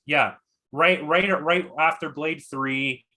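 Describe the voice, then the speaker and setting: Bright-voiced, opinionated man. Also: fairly high pitch, slow. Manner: opinionated, blunt, swearing. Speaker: podcast host. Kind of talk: casual chat.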